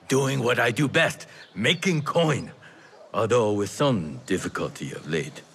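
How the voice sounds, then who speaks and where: Game role: wily old tea trader, bright, swooping delivery, theatrical and teasing. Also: male voice, medium pitch, expressive, takes it slowly, gravelly, slight background noise, heavily acted. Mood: wry, grumbling. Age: older. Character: lively old Chinese tea merchant, wily and affectionate. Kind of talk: video game character acting.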